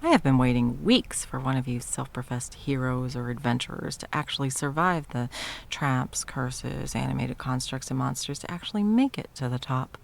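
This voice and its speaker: A woman's voice: rumbling low, normal speed, creaky. A woman playing a haughty, sadistic sorceress tyrant in a fantasy audio roleplay, with a theatrical, mocking and condescending delivery. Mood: mocking delight. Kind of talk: scripted ASMR fantasy roleplay.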